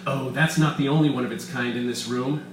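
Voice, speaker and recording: A man's voice: mid-range voice, fairly even intonation, brisk, natural voice. Villain for live story reading: man playing pompous, gloating evil genius, theatrical and boastful, echoey room. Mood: smug boasting. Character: gloating male mad-scientist villain, grandiose schemer. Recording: roomy echo, distant mic, faint room noise.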